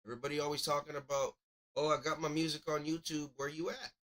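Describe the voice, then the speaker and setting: A man's voice: on the high side, little pitch movement, fast, fairly bright tone. A man hosting a music review livestream as DJ, chatting casually in a slangy, rambling way. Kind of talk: casual live commentary on songs.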